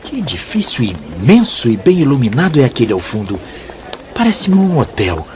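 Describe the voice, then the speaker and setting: A man's voice: mid-range voice, huge pitch swings, normal speed, a little mellow. A man playing a curious, respectful pupil in a radio drama, polite and inquisitive. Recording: dull sound, light background noise.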